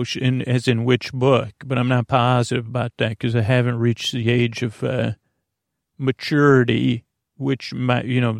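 A man hosting a sleep podcast in a gravelly, creaky voice, with a meandering, halting, whimsical way of talking that keeps interrupting itself. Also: medium pitch, no room sound, studio quality. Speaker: podcast host. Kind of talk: rambling bedtime sleep-podcast monologue.

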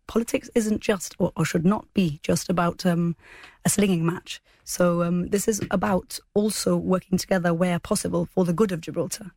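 A woman's voice: lowish voice, darkish tone, no echo, studio quality. A woman speaking as a political guest in a formal interview, earnest and careful with her phrasing.

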